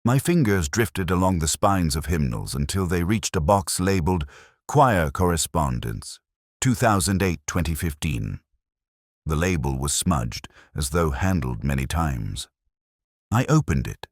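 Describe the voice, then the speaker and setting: A man narrating a scripted first-person mystery story in a solemn, literary, measured manner. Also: rumbling low, animated, no room sound, clean studio sound.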